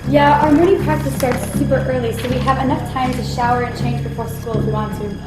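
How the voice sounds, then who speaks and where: A woman reading the part of an excited, chatty teenage schoolgirl runner in a script table read. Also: high-pitched, fast talker, natural voice. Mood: bright, eager. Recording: echoey room, music behind.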